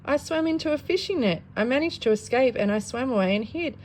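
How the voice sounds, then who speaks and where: A woman's voice: sits high, expressive, fairly quick, darkish tone, slight background noise, pitched above the performer's own voice, rough recording. A woman voicing a small, earnest boy fish who spins tall tales in an eager storybook read-aloud. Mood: apologetic, eager.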